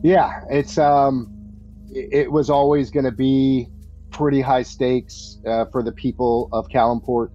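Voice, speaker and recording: A man running a tabletop roleplaying game as game master, casual and conversational, with some halting phrasing. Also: medium pitch, little pitch movement, mellow. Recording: dull, muffled audio with music behind. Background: background music.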